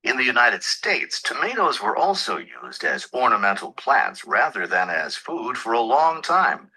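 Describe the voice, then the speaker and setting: A man reading a scripted educational lecture for a listening test in a measured, deliberate manner. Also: mid-range voice, huge pitch swings, sharp tone, rough recording.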